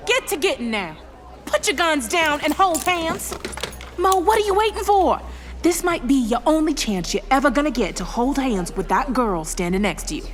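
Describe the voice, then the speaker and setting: A woman playing a sharp-tongued, defiant small-town scientist with a folksy, commanding manner in an audio drama. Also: high-pitched, very animated, normal speed.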